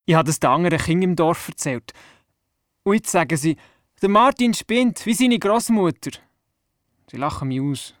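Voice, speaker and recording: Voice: mid-range voice, lively intonation, normal speed, long pauses, no echo, studio quality, lightly acted. Someone playing a curious Swiss boy telling stories. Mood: earnest. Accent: Swiss German. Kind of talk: children's radio play narration and dialogue.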